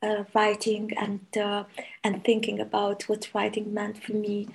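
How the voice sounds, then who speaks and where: A woman in her late forties, a native Italian speaker, talking in a halting, reflective way on a panel and searching for her words. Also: medium pitch, monotone, creaky, low-quality recording. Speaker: panel guest, writer. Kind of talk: panel conversation.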